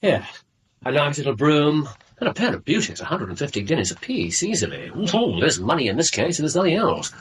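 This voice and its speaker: An English actor playing a brisk, aloof Victorian detective in a radio drama, speaking precisely and with self-assurance. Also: male voice, a little high, sing-song, slightly rough, exaggerated voice. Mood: dryly amused.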